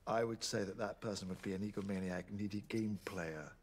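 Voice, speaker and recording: A man's voice: fairly low pitch, fairly even intonation, brisk, lightly acted. An actor in his fifties playing a newly changed older male time traveller who talks in a blunt, awkward, abrupt way, in scripted TV drama. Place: period restaurant set.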